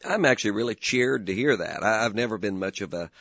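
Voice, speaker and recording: A male talk radio host with a hoarse, gravelly voice, pitched on the low side. He speaks earnestly and gives his views, now and then stumbling over the first word of a sentence. The sound is dry and close, a little dull. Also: quick pace, fairly bright tone, no room sound. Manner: earnest, opinionated, repeats words when starting. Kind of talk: political talk radio commentary.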